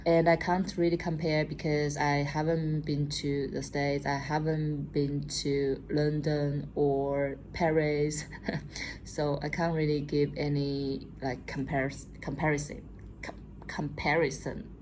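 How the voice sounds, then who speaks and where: A woman's voice: deep voice, level tone, slow, a little mellow, slight background noise. A young Chinese woman with a Chinese accent, chatting casually to camera as a vlogger and often tagging on "okay?". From China. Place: room at home at night.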